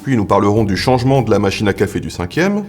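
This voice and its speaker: A man playing a laid-back, slightly hesitant company boss running a meeting in a comedy audio drama, with a gravelly voice. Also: lowish voice, big pitch swings, fast talker, darkish tone, faint music behind. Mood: matter-of-fact.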